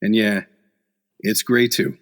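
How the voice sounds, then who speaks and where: An actor playing a hard-boiled, weary newspaper reporter, narrating a noir radio play in dry, wisecracking slang. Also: male voice, on the low side, little pitch movement, slow pace. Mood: grim, sardonic.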